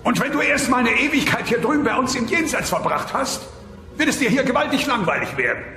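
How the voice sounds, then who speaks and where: A man's voice: very high voice, expressive, fast talker, sharp tone, full character voice. A man playing an affectionate dinosaur husband speaking theatrically from the afterlife in an audio play. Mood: tender, admonishing. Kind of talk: German-dubbed sitcom audio play. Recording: echoey long reverb, music behind.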